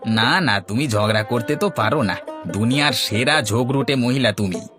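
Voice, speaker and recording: A man's voice: medium pitch, moderate pace, over music, close to an everyday voice. Man playing a henpecked, grumbling cartoon village husband. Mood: placating, muttering. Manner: placating, grumbling. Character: henpecked village husband, placating, muttering dry jibes. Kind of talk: Bangla cartoon voice acting.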